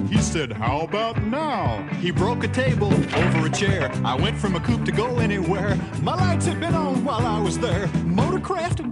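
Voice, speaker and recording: An actor playing a swaggering, comic teller of brawl tales in a rhymed, rhythmic radio ad. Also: male voice, high voice. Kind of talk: rhymed radio ad over music. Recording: loud guitar music under voice.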